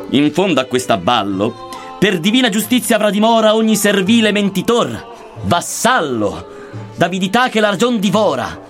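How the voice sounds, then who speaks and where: A man's voice: high-pitched, fairly bright tone. A man. His delivery is solemn and theatrical, set over music. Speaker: reader of verse. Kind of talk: declaimed recitation of archaic Dantesque verse. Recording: music under the voice.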